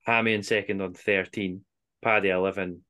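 A Scottish man with a fairly low voice hosts a podcast quiz. He reads out clues and scores in an informal way. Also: flat delivery, moderate pace, pushed and bright. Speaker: quiz host on a football podcast.

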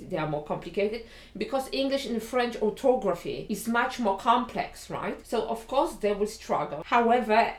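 Polish-accented woman teaching in an echoey room. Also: mid-range voice. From Poland. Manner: explains point by point, often checks in with "right?". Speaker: educator. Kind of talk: explanatory lesson talk.

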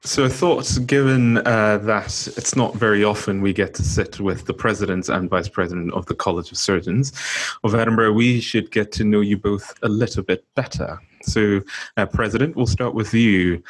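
A man's voice: lowish voice, moderate pace, husky. A man from Nigeria hosting a podcast, interviewing guests in a conversational way.

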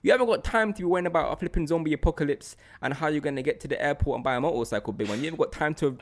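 A man, chatting casually as a podcast co-host and telling rambling, slangy, animated stories. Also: on the high side, very fast, slight background noise. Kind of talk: casual chat.

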